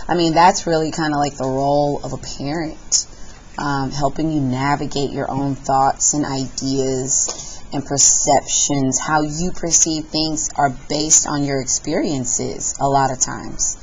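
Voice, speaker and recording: Patient female teacher quizzing a class; low, slow, lo-fi room sound. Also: fairly even intonation, darkish tone. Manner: slow, patient questioning of students. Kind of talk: classroom discussion. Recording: muffled classroom recording with faint hiss.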